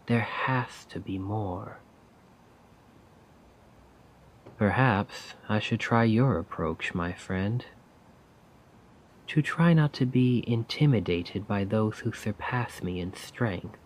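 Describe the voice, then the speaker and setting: Man playing a soft, slow, wistful poet. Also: fairly low pitch, rough recording. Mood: despairing. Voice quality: soft, breathy. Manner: wistful, musing, formal poetic wording. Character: melancholy self-doubting poet befriending a raven. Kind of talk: soft-spoken ASMR roleplay monologue.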